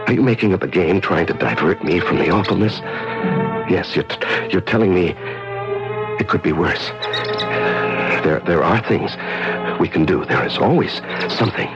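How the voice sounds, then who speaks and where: An actor playing a desperate, lonely prisoner in solitary confinement in a 1970s radio drama, halting and stammering, repeating himself with fervour. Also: male voice, deep voice, animated, lo-fi sound. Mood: tender, pleading. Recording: vintage radio drama with music.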